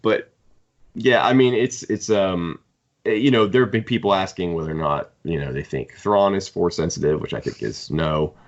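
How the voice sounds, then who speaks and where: A man's voice: low-pitched, moderate pace, lo-fi sound. A man co-hosting a podcast, talking through fan topics in a rambling, conversational way. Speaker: podcast co-host. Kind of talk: casual fan discussion.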